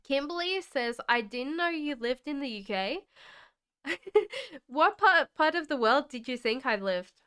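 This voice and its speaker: A woman's voice: high voice, sing-song, a little slow, pushed and bright. A woman from Australia, with an Australian accent mixed with British, chatting through a live-stream tarot reading, reading viewer comments aloud and laughing often. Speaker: tarot reader. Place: home in the UK.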